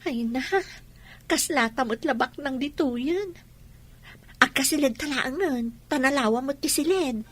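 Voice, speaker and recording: A woman's voice: sits high, measured pace, a bit bright. A woman with a Filipino accent playing a playful, polite young student who frets over her friend in a radio drama. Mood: concerned, teasing. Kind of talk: Ilocano radio drama acting.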